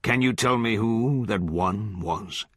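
An eloquent, menacing judge , acted by a male audiobook reader, who coaxes and pronounces in grand, archaic sentences. The delivery is slow and deliberate, the voice lowish and a little husky. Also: fairly bright tone, no echo, studio quality. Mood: grave preaching. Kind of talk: literary audiobook character voice.

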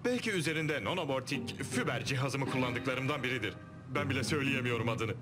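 A man's voice: mid-range voice, expressive, fairly quick, close to an everyday voice. A man voicing a scheming, irritable cartoon villain mouse who blusters and narrates his own plans. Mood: muttering. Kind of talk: cartoon dubbing. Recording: loud background music.

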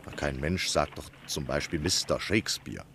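Radio drama lead: insistent, eager schemer of a biographer, low male voice, articulate. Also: moderate pace, a bit bright, a little hiss or hum. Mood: eager, indignant. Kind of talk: German radio drama acting.

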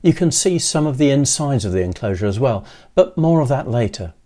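An older man in his sixties giving scripted how-to narration in a measured, methodical way, as a presenter. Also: medium pitch, very animated, dark tone. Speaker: presenter of an electronics how-to video.

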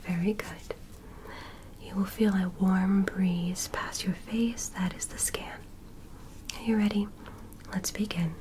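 A young woman playing a calm, curious female alien medical examiner in an ASMR roleplay, in a soft, breathy whisper with a gentle clinical manner. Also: medium pitch, background noise, natural voice. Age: young adult. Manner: slow, gentle, clinical, politely instructing. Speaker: ASMR performer. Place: small bedroom set, indoors. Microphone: close microphone.

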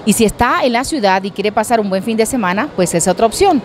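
A Dominican woman in her forties hosting a TV show, holding a handheld mic on an outdoor terrace at an event, effusive and quick to laugh. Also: on the high side, lively intonation, a little hiss or hum. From Dominican Republic. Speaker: TV show host. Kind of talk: lively event interview and promo reads.

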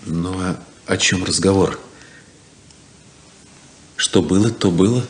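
An actor playing a good-natured, forgiving young farm son in a 1970s radio play. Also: male voice, low voice, slow. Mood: gruff, forgiving. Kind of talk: 1970s Soviet radio theatre acting.

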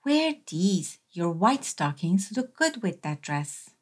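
A woman's voice: lowish voice, big pitch swings, slow, full character voice. A woman voicing a practical, mildly insistent mother reasoning with her small child in a storybook. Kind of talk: storybook read-aloud.

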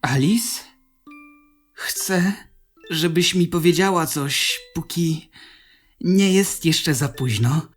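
A woman voicing a small, self-important, needy cartoon demon in a theatrical, expressive way for a Polish fan dub. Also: sits low, slow, long pauses, faint music behind. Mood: pleading.

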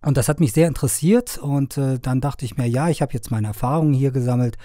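A middle-aged man from Afghanistan speaks in an unhurried, conversational way. He often pauses and hesitates with fillers. His tone is mellow and his voice sounds dry and close, as if recorded in a studio. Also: mid-range voice, no room sound. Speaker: sound engineer and media trainer. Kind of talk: conversational interview answers.

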